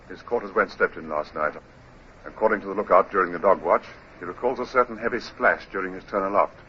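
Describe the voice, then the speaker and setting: An actor playing a grave naval captain in a 1950s radio drama, formal and deliberate in speech. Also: male voice, low-pitched, close to an everyday voice. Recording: muffled, hissy vintage radio recording.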